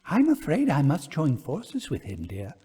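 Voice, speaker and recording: A man's voice: fairly high pitch, huge pitch swings, unhurried, dark tone, husky, exaggerated voice. A man voicing a polite, coaxing hostess of a country house in a ghost story. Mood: apologetic.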